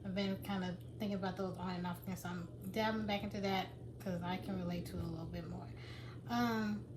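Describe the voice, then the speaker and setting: A woman's voice: fairly low pitch, steady tone, fast, noisy background. A woman chatting casually to her viewers in a vlog, rambling with many restarts. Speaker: vlogger and writer. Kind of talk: casual chat to camera.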